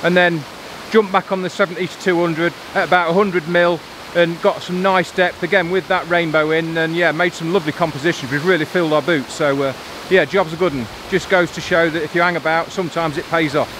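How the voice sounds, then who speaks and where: A man's voice: on the high side, fairly even intonation. A man, chatting casually to camera as a photography vlogger outdoors. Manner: chatty, upbeat, colloquial. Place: outdoors by a waterfall in a valley. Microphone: on-camera mic, handheld selfie framing. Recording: rushing water noise behind. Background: waterfall and stream.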